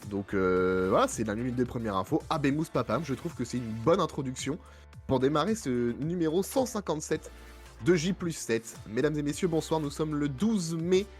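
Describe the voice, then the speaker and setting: A man with a head cold and a hoarse voice, hosting a casual podcast chat with many fillers and self-mocking asides. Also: fairly high pitch, expressive, normal speed, darkish tone, faint music behind. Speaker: podcast host.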